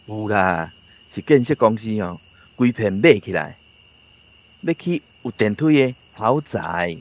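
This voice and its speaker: A man's voice: lowish voice, expressive, a little slow. A man with a Taiwanese accent playing an easygoing older village uncle who chats in a folksy way in a radio drama. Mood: chatty.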